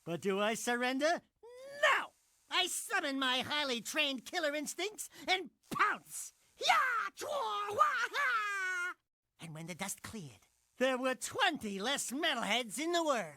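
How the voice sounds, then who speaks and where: A man's voice: extremely high pitch, big pitch swings, slow, sharp tone, no echo, exaggerated voice. A man voicing a cocky little animal sidekick in a video game, boastful and theatrical in his delivery. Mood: battle bravado, then smug.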